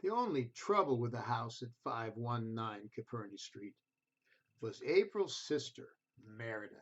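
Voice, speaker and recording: A man reading a children's story aloud in an expressive, deliberate way, speaking directly to his listeners. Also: medium pitch, highs cut off.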